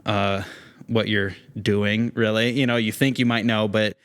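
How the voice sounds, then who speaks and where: A man's voice: lowish voice, little pitch movement, unhurried, fairly bright tone, clean tone. A man who is a theatre actor, talking casually as an interview guest in a rambling way, often acting out bits of imagined dialogue.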